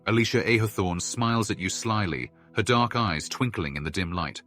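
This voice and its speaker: A man's voice: fairly low pitch, normal speed, fairly bright tone, faint music behind. A man reading scripted, storybook-like narration as the narrator of a fantasy game.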